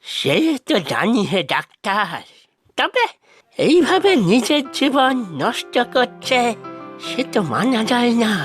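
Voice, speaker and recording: A woman voicing a stubborn, crotchety old village father in a cartoon, bossy and scolding with excitable exclamations. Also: on the high side, slow, heavily acted. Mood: approving, confiding. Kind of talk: animated cartoon dialogue. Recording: music behind the voice.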